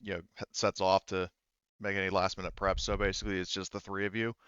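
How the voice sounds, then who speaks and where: A man casually running a tabletop roleplaying game as game master, halting and thinking aloud as he goes. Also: sits low, flat delivery, a bit bright, smooth, no room sound, dull audio.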